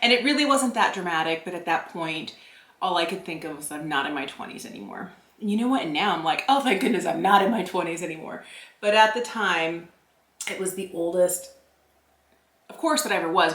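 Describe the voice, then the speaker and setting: A woman's voice: fairly low pitch, lively intonation, fairly quick, a bit bright, echoey room. A woman in her forties chatting casually through a makeup tutorial, narrating each step with self-deprecating asides. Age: 40s. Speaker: beauty vlogger.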